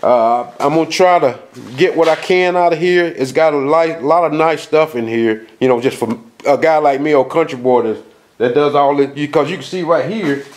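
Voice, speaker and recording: A man's voice: a little high, darkish tone, slight background noise. Guy with a stuffy nose from a cold, rambling country-style vlog talk, lots of uh and you know, filming in a basement. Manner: rambling, folksy, lots of fillers and restarts. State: has a cold, nasal. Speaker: outdoors video host. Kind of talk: casual vlog walkthrough. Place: dark basement of a house. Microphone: handheld camera.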